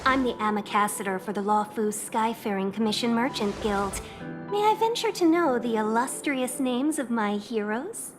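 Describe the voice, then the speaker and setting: A woman's voice: fairly high pitch, animated, moderate pace, music in the background, lightly acted. A woman voice actor playing a courteous, silver-tongued young merchant guild envoy in a video game, speaking in formal, flowery phrases. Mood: polite, composed. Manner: formal, polite, flowery speech.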